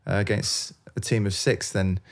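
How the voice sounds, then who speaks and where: Gravelly-voiced man, chatty with many fillers. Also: on the low side, steady tone, normal speed. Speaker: video game composer, guest. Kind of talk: casual interview chat.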